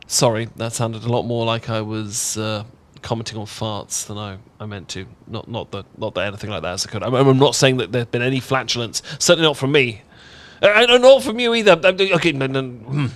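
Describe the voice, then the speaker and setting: A man's voice: mid-range voice, a little fast, few pauses, fairly bright tone, natural voice. Bashful, chatty young English guy, acted by a man. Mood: flustered, awkward. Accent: British, English. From England. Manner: chatty, bashful, stammering when flustered. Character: bashful, affectionate young English male roommate, playful and rambling. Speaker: audio roleplay voice actor. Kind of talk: intimate ASMR roleplay acting.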